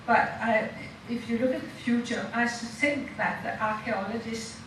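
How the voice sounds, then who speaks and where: A woman with a Danish accent speaking as a panelist in an informal talk, halting and full of fillers. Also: a little high. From Denmark. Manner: halting, with many fillers and soft laughs. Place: lecture room. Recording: echoey room from a distant mic, noisy.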